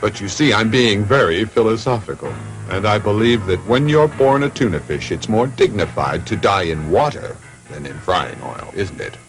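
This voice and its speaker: A resigned cartoon tuna holds forth in a lowish voice with wide melodic swings. He sounds pompous, gloomy and philosophical, with faint music behind. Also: lively intonation, moderate pace, low-quality recording. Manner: dignified, fatalistic, pompous. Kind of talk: cartoon dub acting.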